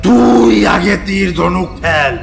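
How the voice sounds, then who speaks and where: A menacing caveman villain of an audio story. His voice is heavily gravelly and growling, and he issues cunning threats slowly over a music bed. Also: high-pitched, full character voice. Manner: slow, threatening, commanding. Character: brutish, menacing rival caveman, cunning and jealous. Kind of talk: dramatized audio story acting.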